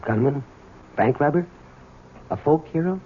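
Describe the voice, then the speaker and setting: An actor playing the bitter, unyielding son of an imprisoned robber in an old-time radio drama. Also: male voice, on the high side, lively intonation, unhurried, phone-quality sound. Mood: incredulous. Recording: thin, noisy old radio broadcast.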